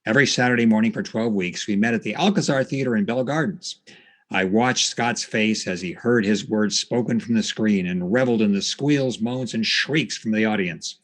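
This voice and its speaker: A man hosting a podcast, telling stories from notes in a conversational, somewhat halting way. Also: lowish voice, a bit bright. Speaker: podcast host. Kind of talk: storytelling narration from notes, conversational.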